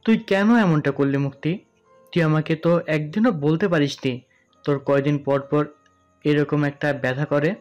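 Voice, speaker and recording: A devoted young man from a romantic audio drama, played by a man. His voice sits a little high and carries plenty of emotion. Soft music runs behind it. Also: normal speed, close to an everyday voice. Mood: tearful scolding. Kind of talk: Bengali romantic audio story acting. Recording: music under the voice.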